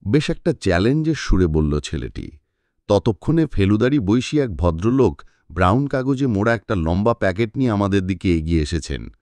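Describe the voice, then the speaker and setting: A man narrating a scripted story in an expressive, dramatic way, shifting his pitch for dialogue. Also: no room sound. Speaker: audiobook narrator.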